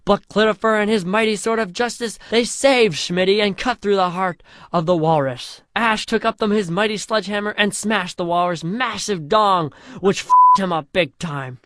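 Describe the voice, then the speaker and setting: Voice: mid-range voice, very animated, normal speed, bright, forceful tone, no room sound, clean studio sound. A storyteller reading a scripted comic fantasy parody in a mock-epic way, drawing out the chapter headings.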